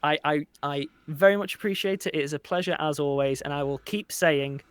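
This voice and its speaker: A man's voice: on the high side, a little fast, smooth, dry recording. A man chatting casually as an interview guest, earnest and wryly self-deprecating. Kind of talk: casual chat.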